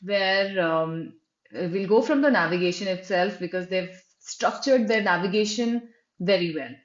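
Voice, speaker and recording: Female reviewer, chatty screen-share commentary, mid pitch, echoey and dull recording. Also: normal speed. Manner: conversational, frequent "right?" and fillers, restarts. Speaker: website reviewer. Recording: roomy, dull lo-fi sound.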